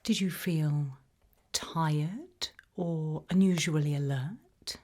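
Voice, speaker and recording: A British woman speaking in a breathy whisper, calmly asking measured questions in an ASMR roleplay interview. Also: low-pitched. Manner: calm, measured, careful questioning. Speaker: ASMR roleplayer playing an abduction investigator.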